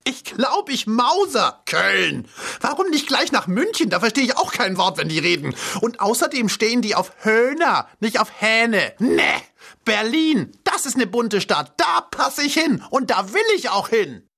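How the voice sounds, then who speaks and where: A man voicing an excitable male rooster musician in a storybook reading, very high and indignant. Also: unhurried, vocal fry, full character voice. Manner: high-pitched, indignant, puffed-up.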